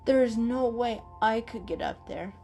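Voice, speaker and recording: A woman's voice: fairly high pitch, lively intonation, measured pace, gravelly, mellow, faint music behind. A woman voicing a small, timid, earnest boy kitten with a hesitant, wide-eyed way of talking in a children's story. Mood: discouraged. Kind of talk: dramatic children's story reading.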